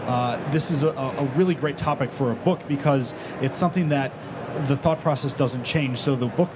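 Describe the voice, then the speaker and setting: A man's voice: mid-range voice, normal speed, background noise. A man on a conference panel, explaining a decision framework fluently and at length. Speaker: author on a panel. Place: large conference stage.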